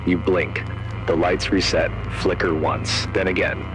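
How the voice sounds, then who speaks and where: A man narrating a scripted horror story in the second person, in short, measured, suspenseful phrases. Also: low voice, little pitch movement, poor audio. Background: music.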